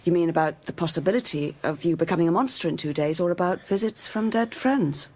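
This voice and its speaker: An actress playing a concerned, level-headed hospital nurse in an audio horror drama, asking gentle questions. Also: female voice, lowish voice, brisk, close to an everyday voice. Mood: concerned, calm.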